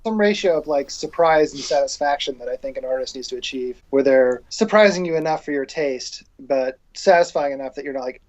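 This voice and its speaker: A man's voice: mid-range voice, brisk, few pauses. A man talking casually in a group conversation, explaining his views as a band member. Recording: dull, muffled lo-fi recording.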